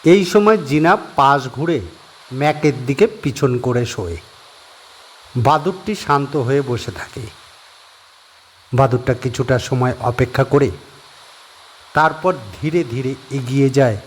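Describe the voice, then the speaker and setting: A male narrator reading a scripted horror story in a steady, descriptive way. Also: medium pitch, a little slow, pauses often, slight background noise.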